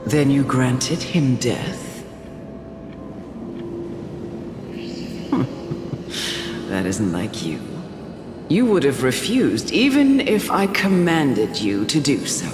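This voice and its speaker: An actress playing a composed, regal woman of quiet authority, with measured and formal delivery in a dramatic game scene. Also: rumbling low, big pitch swings, full character voice. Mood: probing, amused. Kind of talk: dramatic scripted game voice acting. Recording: echoey long reverb, music behind.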